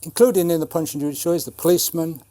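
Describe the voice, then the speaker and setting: Older guy in his 70s, soft mellow voice, a bit high, explaining his craft. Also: steady tone, fast. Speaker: retired Punch and Judy puppeteer. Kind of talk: explanatory talk about puppetry.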